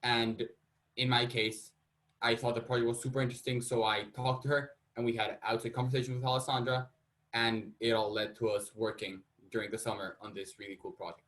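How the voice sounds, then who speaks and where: A man's voice: mid-range voice, little pitch movement, a little fast, fairly bright tone, rough recording. Casual young man presenting research. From Colombia. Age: young adult. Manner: casual, self-deprecating. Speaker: student panelist.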